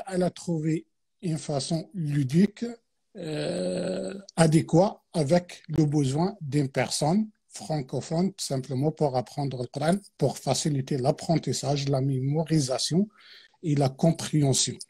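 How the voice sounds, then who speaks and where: Guy teaching on a livestream, mellow husky voice, lots of euh and restarts. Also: mid-range voice. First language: Arabic. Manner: hesitant, many fillers and restarts. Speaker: guest teacher. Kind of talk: explanatory religious talk on a live stream.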